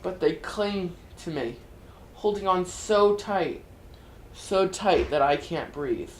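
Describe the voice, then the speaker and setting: A woman performing a dramatic reading as a tormented, despairing figure possessed by demons, swinging between hushed and loud pleading. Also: on the low side, takes it slowly, slight background noise. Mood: desperate, weak. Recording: roomy echo, music underneath.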